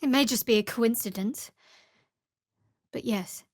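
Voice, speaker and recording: An actress playing a guarded, devout young woman cleric in a video game, with a wry, measured delivery. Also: fairly high pitch, dry recording, clean studio sound. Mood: earnest, solemn. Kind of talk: video game character voice acting.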